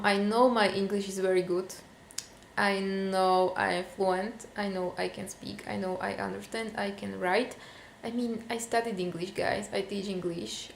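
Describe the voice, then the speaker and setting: A woman's voice: medium pitch, creaky, roomy sound, slight background noise. A young woman from Slovakia with a Slovak accent, venting casually to camera in a vlog, with many fillers. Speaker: vlogger.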